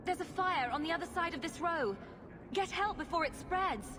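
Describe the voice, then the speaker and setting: Wry, animated rogue heroine, acted by a woman. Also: medium pitch, normal speed, background noise, close to an everyday voice. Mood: urgent. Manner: wry, flippant. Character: sarcastic, quick-witted female rogue adventurer. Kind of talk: video game dialogue acting.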